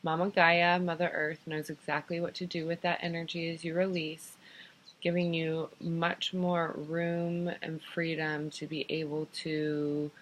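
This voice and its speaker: A woman leading a guided meditation in an unhurried, soothing manner, giving gentle improvised instructions in short phrases with pauses. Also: sits low, little pitch movement, a little hiss or hum, poor audio. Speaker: guided meditation teacher and host.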